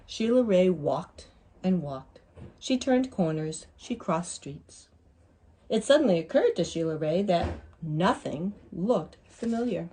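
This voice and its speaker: A woman reads a children's story at an unhurried pace. Her voice is soft-edged and mellow with a little huskiness, and she delivers the tale expressively, as if to young listeners. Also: lowish voice, animated, breathy edge. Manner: deliberate, pausing to ask listeners questions. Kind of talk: expressive picture-book read-aloud for young children.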